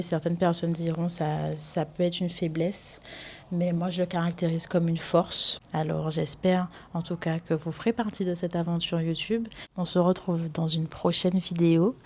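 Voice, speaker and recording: A young woman from Guadeloupe introducing herself in her first vlog, speaking chatty and enthusiastic with casual fillers. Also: lowish voice. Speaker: YouTuber. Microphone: microphone with camera in front.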